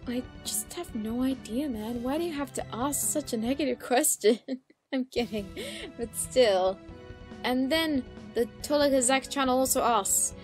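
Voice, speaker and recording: A woman's voice: high-pitched, measured pace, a little mellow. A woman in a casual solo question-and-answer chat, speaking expressively with playful exasperation as a YouTuber. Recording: background music behind the voice. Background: music.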